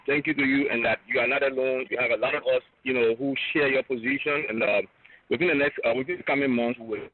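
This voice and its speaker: A man's voice: mid-range voice, no echo. A man calling into a radio show, speaking earnestly and emphatically as he commends the guest. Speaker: caller. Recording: tinny phone line.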